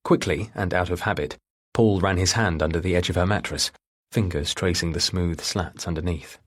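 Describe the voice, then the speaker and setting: Audiobook narrator, male, deep register with a husky grain, deliberate delivery of scripted thriller prose. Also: steady tone.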